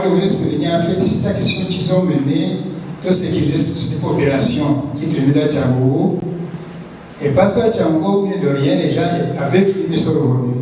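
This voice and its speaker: A man's voice: a little high, darkish tone. A man giving spoken testimony, emphatic and repeating his phrases for stress. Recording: distant roomy mic with faint noise.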